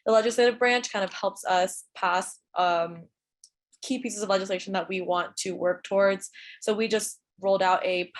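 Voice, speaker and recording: A young woman from Atlanta speaking as a student government president on an online panel, halting with restarts and fillers, heard over a video call. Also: medium pitch. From Atlanta, Georgia. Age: young adult. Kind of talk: panel introduction.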